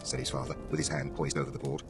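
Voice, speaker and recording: A man's voice: extremely low pitch, little pitch movement, rapid-fire, music in the background. A man narrating a story as an audiobook narrator, in a dramatic scripted reading style.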